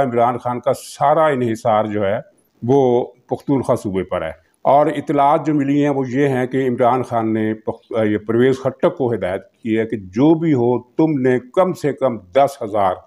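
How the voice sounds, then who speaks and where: A man's voice: mid-range voice, darkish tone. A man with a Pakistani accent giving unscripted political commentary, explaining things and repeating phrases for emphasis.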